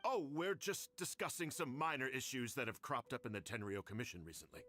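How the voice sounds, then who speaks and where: A man's voice: mid-range voice, fairly quick, a bit bright, close to an everyday voice. A man playing a scheming, obsequious clan nobleman in a video game, with a blustering, wheedling delivery. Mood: nervous, fawning. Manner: wheedling, blustering, stammering under pressure. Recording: music behind the voice.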